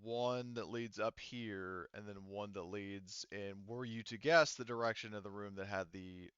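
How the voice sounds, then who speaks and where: Male game master, clear lower voice, improvised halting narration, close dry sound with slightly muffled top end. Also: fairly even intonation, a bit bright, no room sound.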